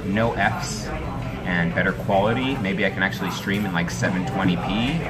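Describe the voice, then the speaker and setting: A man in his twenties chatting casually on a travel live stream, rambling with lots of fillers and talking to his viewers. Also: sits low. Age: 20s. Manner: rambling, filler-heavy, talks to chat. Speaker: travel livestreamer. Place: indoors, air-conditioned spot while out walking.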